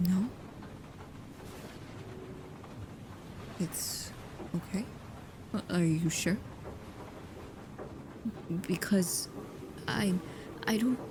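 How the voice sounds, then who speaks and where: A woman playing a devoted, anxious, shyly affectionate vampire bodyguard in an intimate ASMR roleplay, speaking softly and haltingly, often near a whisper. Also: medium pitch. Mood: nervous, earnest. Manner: hesitant, halting, soft-spoken, often dropping to a whisper. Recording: clearly audible background ambience noise.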